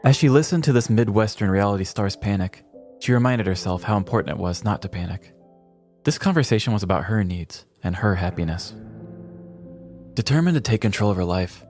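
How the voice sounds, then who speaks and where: A man with a low voice narrates a scripted comedy tale. He delivers it with mock drama as a podcast storyteller, over a bed of music. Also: expressive, a little fast, darkish tone.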